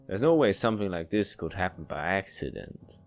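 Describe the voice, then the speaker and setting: Man acting a deep, wary, exasperated young man. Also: very animated, a little fast. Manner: blunt, accusing. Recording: faint background music.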